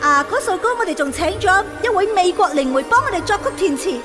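Cutesy girly ghost popstar, super high pitched, radio drama w music behind. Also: expressive, quick pace. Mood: gushing. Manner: cutesy, showy celebrity politeness. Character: bubbly young female ghost pop star from hell. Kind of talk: radio comedy drama acting.

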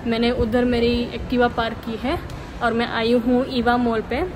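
A woman's voice: fairly high pitch, completely flat delivery, normal speed. A young woman vlogging on a phone held at arm's length, giving an upbeat, chatty tour of a shopping mall. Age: young adult. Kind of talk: casual mall tour vlog. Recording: loud crowd and noise behind.

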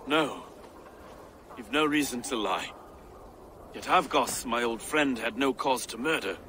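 An actor playing a grave, wary, steadfast soldier in a video game, with earnest, deliberate phrasing. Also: male voice, medium pitch, bright, forceful tone, slight background noise. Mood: troubled, grave. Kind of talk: dramatic video game acting.

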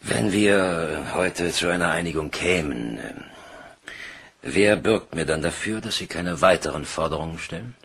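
An actor playing a haughty, wealthy bank director in a German crime radio play, with a raspy voice and pompous, imperious manner. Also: male voice, low-pitched, expressive, a little slow, a bit bright, exaggerated voice. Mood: cold, guarded.

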